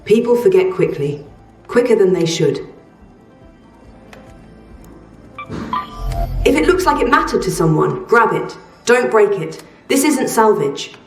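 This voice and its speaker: An actress playing a guarded, exacting trader in a post-apocalyptic video game, speaking in a measured, terse, matter-of-fact way. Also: female voice, medium pitch, sing-song. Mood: grave, exacting. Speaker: quest-giving trader. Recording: roomy, slightly distant, music behind.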